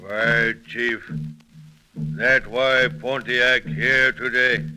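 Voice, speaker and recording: An actor playing a proud, grave war chief who speaks terse, halting broken English in a 1930s radio drama. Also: male voice, medium pitch, over music, low-quality recording. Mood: stern, aggrieved.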